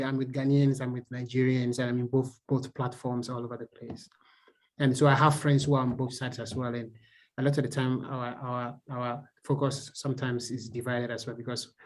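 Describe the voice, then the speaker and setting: A man's voice: mid-range voice, darkish tone, rough recording. A man in an online discussion asking questions, hesitant and repeating words as he builds his point. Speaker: forum participant asking questions.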